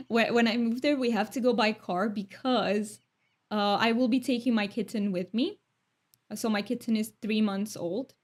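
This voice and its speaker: A young woman from Romania talks casually with her stream chat. Her speech is thoughtful and chatty, broken up by light laughs. Her voice sits at a middle pitch for a woman.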